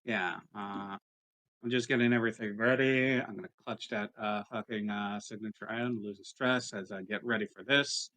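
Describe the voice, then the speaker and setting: A man's voice: on the low side, fairly even intonation, normal speed, sharp tone, poor audio. A man playing a tabletop game, chatting casually and explaining things bluntly with some swearing. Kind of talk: casual game-session chat.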